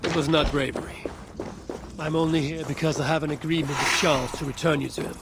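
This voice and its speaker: A man's voice: on the high side, steady tone, a little fast, very noisy, close to an everyday voice. A man playing a stoic, skeptical Viking warrior in a video game cutscene, speaking tersely and matter-of-factly. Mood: blunt, urgent. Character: blunt, skeptical Viking warrior of a raven clan.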